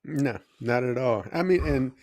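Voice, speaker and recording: A man's voice: mid-range voice, measured pace, a little mellow, no room sound. A man co-hosting a livestream, chatting casually in an informal, colloquial way. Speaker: live show co-host.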